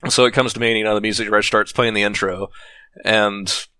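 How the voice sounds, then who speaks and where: A man with a fairly low voice, guesting on a podcast and rambling through stories. He talks loosely and chattily, breaking into laughs. The sound is dry and close to the mic. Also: fairly even intonation, fast, sharp tone, clear, resonant tone, dry recording. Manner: rambling storyteller, laughs often. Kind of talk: casual podcast chat.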